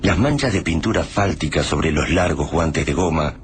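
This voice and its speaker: A man narrating a radio drama in a slow, solemn, measured way. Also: rumbling low. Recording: noisy recording.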